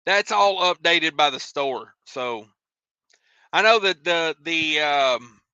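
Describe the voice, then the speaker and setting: A man's voice: on the high side, lively intonation, slow pace, sharp tone, clear, resonant tone, no echo, dull audio. An American man hosting a casual livestream, reading out viewer comments and replying in a folksy, rambling, colloquial way. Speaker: livestream host, truck driver.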